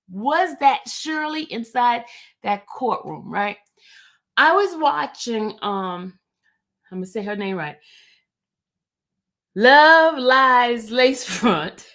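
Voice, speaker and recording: A New woman hosts a casual livestream in an animated, chatty way, often wandering off topic and answering her viewers directly. Her voice sits somewhat high shows. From New York. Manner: animated, chatty, digressive, talks straight to chat. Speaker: YouTube livestream host. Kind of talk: casual livestream chat reading viewer comments.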